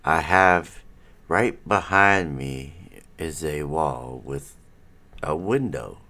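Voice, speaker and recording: A man's voice: a bass voice, extremely slow, pushed and bright. A middle-aged man hosting a casual live stream from home, rambling as he chats with viewers, his nose stuffy. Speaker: livestream host.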